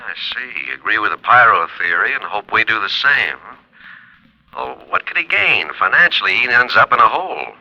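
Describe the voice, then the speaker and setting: An actor playing a gruff, skeptical police lieutenant in an old radio drama, terse and questioning. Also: male voice, lowish voice, very animated, moderate pace, sharp tone, no room sound, exaggerated voice. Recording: dull, dry vintage radio recording.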